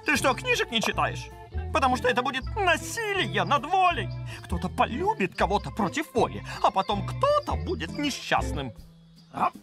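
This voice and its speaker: Theatrical, swooping pirate boatswain. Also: male voice, very high-pitched, wildly swooping pitch, over music, heavily acted. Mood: indignant. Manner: theatrical, breaks into drawn-out operatic singing. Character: grown pirate boatswain who teaches singing, grandiose and theatrical. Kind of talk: cartoon voice acting.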